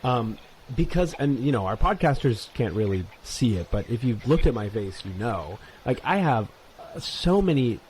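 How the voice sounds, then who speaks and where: A man in his twenties, co-hosting a casual podcast chat, rambling and animated, full of fillers and restarts. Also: medium pitch, moderate pace, a little hiss or hum, poor audio. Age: 20s. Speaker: podcast co-host. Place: New York.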